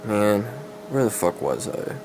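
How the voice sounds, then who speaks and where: A man's voice: fairly low pitch, unhurried. A man giving a dramatic reading of fantasy horror fiction, stumbling over words and correcting himself. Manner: stumbling, self-correcting delivery. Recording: clear background noise.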